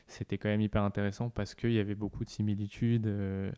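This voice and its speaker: French guy in his thirties, low smooth voice, halting casual chat full of fillers. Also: level tone, dark tone. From France. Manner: hesitant, many fillers and restarts. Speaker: podcast guest.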